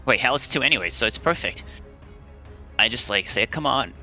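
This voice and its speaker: A man's voice: mid-range voice, expressive, normal speed, pushed and bright. A man chatting casually as a player in a tabletop roleplaying game. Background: background music.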